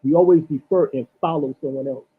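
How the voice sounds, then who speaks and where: A man speaking as a guest in a casual discussion, earnest and halting, restarting his sentences. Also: a little high. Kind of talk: casual chat. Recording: tinny phone-like line with faint hiss.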